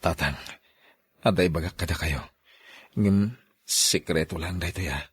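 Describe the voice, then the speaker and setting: A man's voice: deep voice, measured pace, slightly rough, heavily acted. A man playing a commanding, secretive husband in a radio drama, with an Ilocano Filipino accent. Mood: confiding. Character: secretive husband Alex, commanding, scheming over his hidden child.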